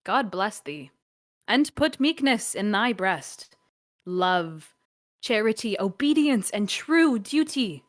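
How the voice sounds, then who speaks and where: A woman's voice: mid-range voice, sing-song, slow. A woman playing a dignified, bitter old royal grandmother in a Shakespearean radio play, speaking formal declamatory verse. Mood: stern blessing.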